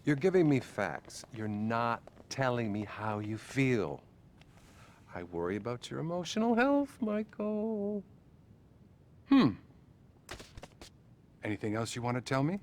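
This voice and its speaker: An actor playing a patronising, bluntly judgmental male therapist in a video game, measured and condescending. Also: mid-range voice, big pitch swings, a little hiss or hum. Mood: patronising concern. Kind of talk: video game cutscene acting.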